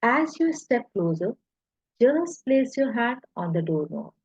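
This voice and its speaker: Slow, husky woman guiding hypnosis. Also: on the high side, very animated, darkish tone, no echo, lo-fi sound. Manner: soothing, deliberate, pausing between short phrases. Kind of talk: guided hypnosis relaxation narration.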